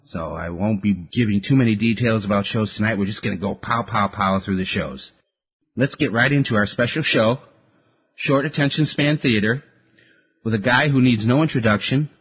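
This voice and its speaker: A man with a husky voice casually introducing a radio program as its host. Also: fairly low pitch, normal speed. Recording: slightly dull, muffled sound.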